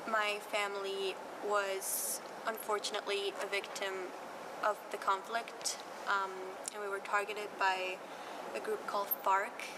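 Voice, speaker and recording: 18 yo woman, halting flat answers, far off mic, loud music behind. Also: mid-range voice, background noise. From Colombia. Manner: hesitant, with many pauses and fillers. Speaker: interviewee. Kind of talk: interview answer. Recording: distant voice over loud music.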